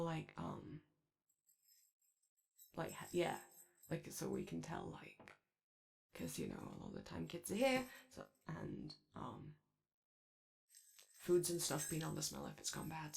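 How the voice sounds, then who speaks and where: Woman acting as a childlike robot attendant, anxious, eager, gentle, hesitant rambling, swooping pitch, some fry, ASMR character roleplay. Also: wildly swooping pitch, full character voice. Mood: flustered, rambling. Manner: hesitant, rambling, halting speech.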